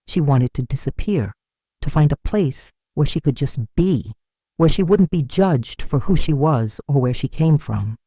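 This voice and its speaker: A storyteller with a soft, mellow tone narrates a scripted tale in a measured, dramatic way. The pitch moves expressively, and the sound is close and dry. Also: expressive, slightly rough, no room sound.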